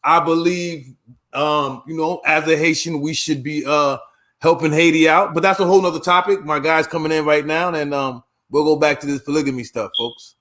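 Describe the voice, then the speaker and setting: A man's voice: on the high side, fairly even intonation, moderate pace, fairly bright tone. A man hosting a casual online panel talk show, laid-back and dropping "man" after most phrases. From United States. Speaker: show host.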